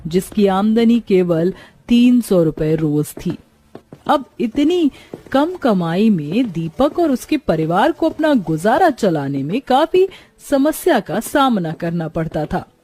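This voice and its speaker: A woman's voice: medium pitch, mellow. A woman narrating a scripted moral story as its storyteller.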